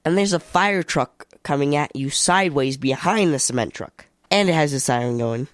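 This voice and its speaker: A man with a fairly high voice takes part in radio comedy banter. The sound is dry and free of room echo. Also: lively intonation, normal speed.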